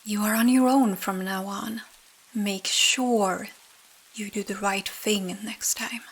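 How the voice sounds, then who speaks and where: Storybook fairy, voiced by a woman: calm, gently admonishing, measured pace, breathy husk. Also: medium pitch, rough recording. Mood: kindly firm. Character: kind but stern female fairy, calm and maternal. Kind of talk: bedtime storybook character voice.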